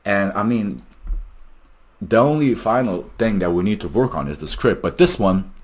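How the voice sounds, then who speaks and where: Male vlogger, low-pitched, explaining his work informally with emphatic, salesy delivery. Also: lively intonation, normal speed. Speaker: AI phone agent developer presenting a video. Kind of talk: casual explainer vlog.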